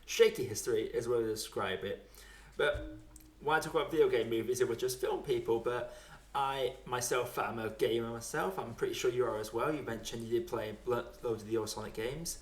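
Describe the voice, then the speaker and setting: Mid-pitched man, noticeably echoey room. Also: a little fast. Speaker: podcast host. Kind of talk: casual podcast chat.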